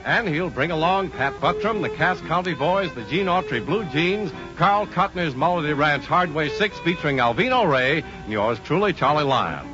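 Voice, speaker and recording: A man's voice: a little high, animated, normal speed, hardly any pauses, music in the background. A man with an American accent announcing in a vintage 1940s radio style. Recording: dull vintage radio recording.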